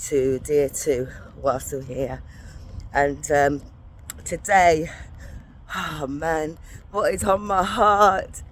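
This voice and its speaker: Unhurried woman vlogging on a morning walk, gruff voice, faint traffic hum. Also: low-pitched. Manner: soft-spoken, rambling, prayerful. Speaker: faith vlogger. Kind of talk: casual devotional walk-and-talk. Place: walking, from a lift to outdoors.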